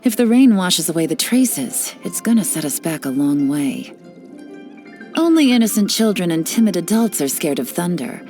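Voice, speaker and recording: A woman voicing a cool, teasing female spy in a studio game, with a knowing, relaxed way of talking. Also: on the low side, moderate pace, soft background music. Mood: sly. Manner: teasing, relaxed, lightly sly. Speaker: game character voice lines.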